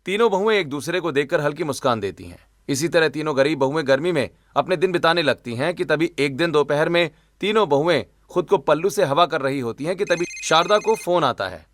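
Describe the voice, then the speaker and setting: Higher-pitched man narrating a cartoon story. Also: expressive, brisk, few pauses, no room sound.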